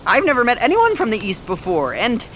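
Jittery, high-voiced young American thief. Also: male voice, huge pitch swings, fairly quick, fairly bright tone, slight background noise, full character voice. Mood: nervously flattering. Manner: rambling, stammering, eager to please. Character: nervous, chatty young man bluffing as a roguish thief. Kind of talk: fiction podcast acting, casual modern speech.

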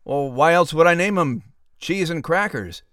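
A man's voice: on the high side, lively intonation, moderate pace, a bit bright, smooth, no room sound, studio quality. An actor playing an easygoing, playful man who owns pets in a children's audio drama. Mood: wry, easygoing.

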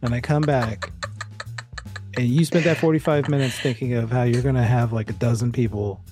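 A man's voice: mid-range voice, steady tone, moderate pace, music in the background. A man running a tabletop roleplaying game with blunt, sweary, mock-exasperated banter. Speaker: game master.

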